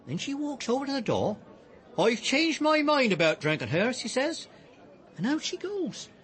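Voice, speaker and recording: An actor playing a talkative, down-to-earth village pub landlord with an English accent in a radio drama, chatty and folksy. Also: male voice, extremely high pitch, huge pitch swings, normal speed, fairly bright tone, exaggerated voice. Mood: relishing the tale.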